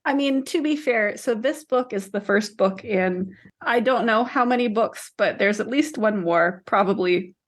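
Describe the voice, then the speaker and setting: A woman co-hosting a podcast, discussing books casually in a measured, questioning way. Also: medium pitch. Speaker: podcast co-host.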